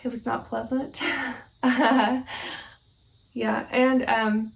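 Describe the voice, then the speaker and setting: A young woman from Oklahoma hosting a casual knitting vlog at home, relaxed and slightly scratchy from allergies, with lots of ums and soft laughs. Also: fairly high pitch, very slow, vocal fry. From Oklahoma, United States. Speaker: knitting podcast host. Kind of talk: casual chat to camera. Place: at home, indoors.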